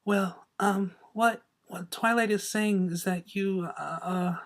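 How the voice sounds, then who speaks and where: A man voicing a timid, nervous female pegasus pony in a story reading, quiet, hesitant and stammering. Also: high voice, steady tone, slow, voice pushed up, heavily acted. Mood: nervous, embarrassed.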